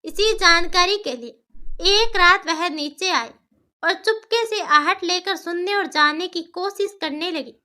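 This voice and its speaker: A woman's voice: very high-pitched, unhurried, pushed and bright. A woman narrating a scripted cartoon story as a storyteller.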